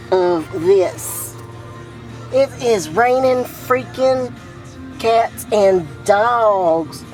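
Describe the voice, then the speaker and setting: A woman's voice: a little high, darkish tone, rough recording. A woman in her twenties hosting a casual vlog, speaking in an informal, slangy, exclamatory way. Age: 20s. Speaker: vlog host.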